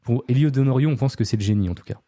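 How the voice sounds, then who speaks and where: A man's voice: mid-range voice, racing, warm tone, dry recording, studio quality. A man hosting a podcast, telling a true-crime story casually, with many fillers and chatty asides to his co-host.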